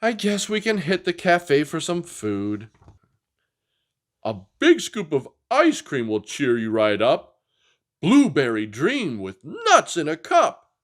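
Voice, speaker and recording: Storybook dinosaur sidekick, male reader: upbeat, reassuring, highly animated. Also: takes it slowly, full character voice. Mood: cheering up. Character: upbeat, encouraging young dinosaur friend who reassures.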